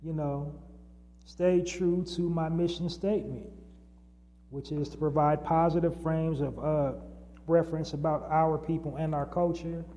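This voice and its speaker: A man hosts a solo podcast, speaking off the cuff with frequent ums and restarts. His voice sits a little high but sounds very dark and mellow. The room gives it a long reverb tail over a faint background hum. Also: steady tone, measured pace. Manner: unscripted, many fillers and restarts. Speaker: podcast host.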